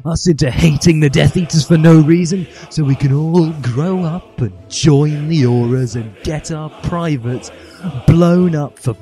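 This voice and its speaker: Man playing a furious teenage boy agitator, high strained pitch, shouty, self-righteous, overwrought, comic story reading, music behind. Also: measured pace. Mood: rousing, shouting. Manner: shouty, overwrought ranting with swearing.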